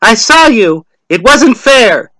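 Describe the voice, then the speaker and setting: A man's voice: very animated, takes it slowly, pushed and bright, no echo, exaggerated voice, poor audio. A man voicing an agitated village woman, indignant and insistent, in a dramatic short story reading. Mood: indignant, protesting. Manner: shrill, complaining, insistent.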